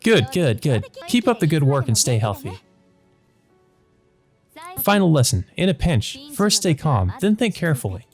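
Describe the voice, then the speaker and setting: A man's voice: fairly high pitch, very animated, moderate pace, pauses often, faint music behind, pitched above the performer's own voice. A man voicing a kind, wise female teacher from a visual novel, earnest, instructive and encouraging.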